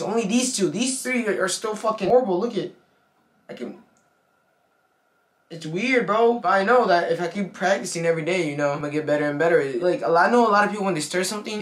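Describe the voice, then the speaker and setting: A man's voice: high voice, fairly bright tone. A young man vlogging in casual slang, swearing a lot and saying "bro" often, filmed on a camera held at arm's length. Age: young adult. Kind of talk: casual vlog chat. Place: bedroom, then car, then indoor gym.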